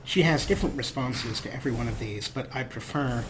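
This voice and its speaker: A man's voice: medium pitch, brisk. A man hosting a video game let's play, giving commentary with wry, joking asides and reading out role-played dialogue choices. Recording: clear background game ambience noise. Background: video game ambience.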